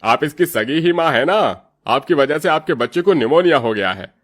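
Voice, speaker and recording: A man playing a stern doctor in an animated moral story, scolding with an emphatic, reproachful delivery. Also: on the high side, moderate pace, natural voice.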